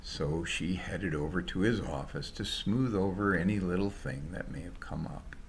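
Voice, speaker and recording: A man's voice: sits low, moderate pace, a little hiss or hum. A man narrating a scripted short story as its author, in a colloquial, dramatized storytelling style.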